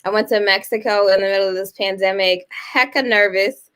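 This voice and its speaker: A woman in her thirties co-hosting a casual chat show, telling stories animatedly and jumping up high when she exclaims. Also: fairly even intonation, a little fast, fairly bright tone, clear, resonant tone. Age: 30s. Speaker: co-host.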